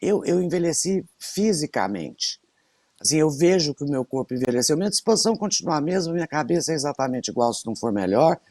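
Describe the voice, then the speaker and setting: A woman's voice: lowish voice, creaky, dry recording, clean studio sound. A woman in her sixties, co-hosting a radio show, telling stories in a casual chat with lots of emphatic repetition. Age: 60s. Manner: animated storyteller, emphatic repetitions.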